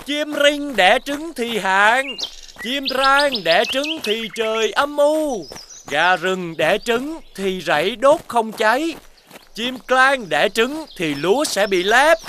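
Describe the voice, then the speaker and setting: A man's voice: extremely high pitch, animated, slow, sharp tone, slight background noise, exaggerated voice. A man playing a kind, cheerful young villager in a folk-tale cartoon. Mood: chanting.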